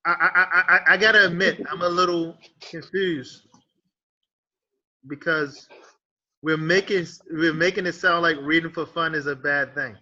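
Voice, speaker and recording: A man moderating an online teachers' discussion, animated and stumbling over his first words, heard over a video call. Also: fairly high pitch, normal speed, dull audio. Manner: animated, stammers on starts.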